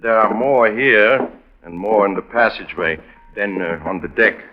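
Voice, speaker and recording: A man's voice: on the low side, normal speed, faint music behind, natural voice. A man playing a polite, earnest native island policeman in a 1950s radio drama, speaking in formal, careful phrases. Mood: careful, grave. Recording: muffled vintage radio broadcast.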